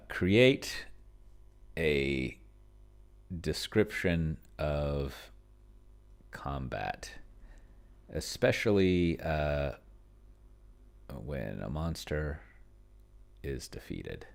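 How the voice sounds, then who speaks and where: A man with a very low, gravelly voice gives casual, think-aloud game commentary. He speaks haltingly, half muttering to himself as he works through things and types. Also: very animated. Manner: self-described pedantic. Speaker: solo tabletop player and video host.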